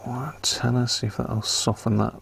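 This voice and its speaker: A British man giving casual, unhurried live commentary as he works at a repair bench, half muttering to himself. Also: medium pitch, level tone, creaky, a bit bright, breathy edge. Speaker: host of a live radio repair stream. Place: indoor repair workbench.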